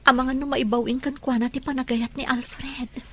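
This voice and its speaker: A woman's voice: high voice, level tone, moderate pace, slight background noise, lightly acted. A woman playing a troubled, earnest older sister in love, in an audio romance drama. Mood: troubled, longing.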